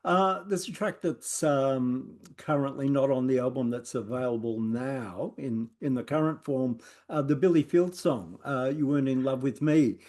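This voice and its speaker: A man's voice: mid-range voice, normal speed, hardly any pauses, a little mellow. An Australian man hosting an interview, asking questions in an easygoing way with many small hesitations. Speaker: interviewer, host.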